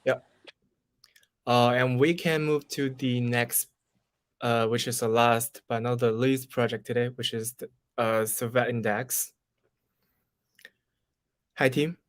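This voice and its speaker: Smooth, dry-voiced young man hosting. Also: mid-range voice, fairly even intonation, slow pace, pauses often, no echo. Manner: polite, encouraging. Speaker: event host. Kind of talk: moderating a video call. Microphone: video call.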